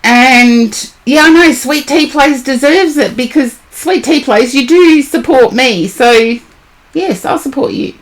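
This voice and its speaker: A hoarse woman, chatting casually on a live stream as a tarot reader, greeting and answering viewers by name. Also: high-pitched, slow.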